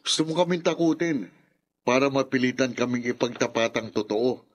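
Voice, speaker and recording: A man's voice: medium pitch, steady tone, normal speed, close to an everyday voice. A man playing a suspicious young man. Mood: accusing. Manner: accusing and blunt. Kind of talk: radio drama acting.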